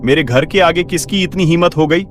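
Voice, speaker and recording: Man acting a blustering, high-ish village householder. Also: brisk, slight background noise, close to an everyday voice. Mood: indignant. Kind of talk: cartoon story voice acting.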